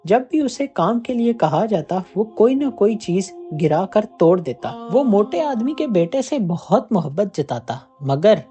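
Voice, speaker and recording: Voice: medium pitch, big pitch swings, unhurried, hardly any pauses, warm tone, soft background music. A narrator reading a scripted moral tale as a storyteller.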